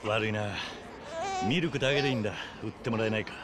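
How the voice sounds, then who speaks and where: An actor playing a stern, tough, tired middle-aged man with a gruff, terse manner in a video game. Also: lowish voice, fast talker, very noisy, natural voice. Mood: troubled, restrained. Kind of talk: dramatic video game voice acting.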